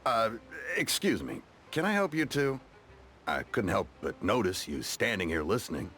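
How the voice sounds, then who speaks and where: A man's voice: lowish voice, very animated, normal speed, fairly bright tone. An actor playing a friendly, courteous, chatty townsman in scripted video game dialogue. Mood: polite, surprised. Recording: music behind.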